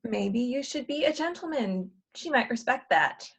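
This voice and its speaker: Casting: actress for exasperated, directive female fixer, sardonic, fairly high voice, stage comedy read. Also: animated, moderate pace, breathy edge. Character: bossy, exasperated female matchmaker from the future. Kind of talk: virtual table read of a comedy play.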